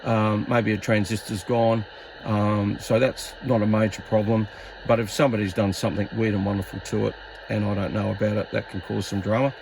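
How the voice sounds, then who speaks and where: A man's voice: fairly low pitch, level tone, a little fast, gravelly, background noise. A man giving a casual hands-on demonstration as a radio hobbyist, rambling informally and thinking aloud as he goes.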